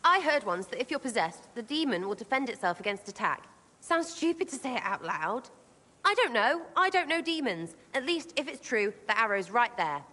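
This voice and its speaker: Game role: irreverent young elf woman, English accent, lively pitch, blunt and slangy, reverberant hall sound. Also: normal speed, heavily acted. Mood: defensive, uneasy. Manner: slangy, choppy, blunt, cheeky. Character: brash, irreverent young female elf rogue, playful and skeptical. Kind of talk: video game character acting.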